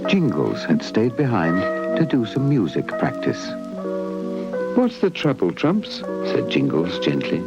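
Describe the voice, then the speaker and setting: A man's voice: deep voice, creaky. A British man narrating a scripted children's puppet story, on a muffled old videotape. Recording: muffled old VHS tape. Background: music.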